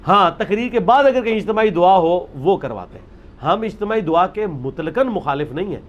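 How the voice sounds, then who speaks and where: A man's voice: high-pitched, lively intonation, fairly quick, muffled recording. A man giving a religious talk, arguing his point with rhetorical questions and emphasis. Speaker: religious speaker. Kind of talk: lecture on religious practice.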